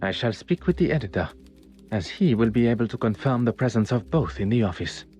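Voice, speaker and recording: A man's voice: mid-range voice, animated, fairly quick, a little mellow, close to an everyday voice. A man voicing a self-assured detective with a French accent, musing formally to himself in a video game. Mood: smug, pensive. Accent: French-speaking. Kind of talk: inner monologue in a video game. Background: music.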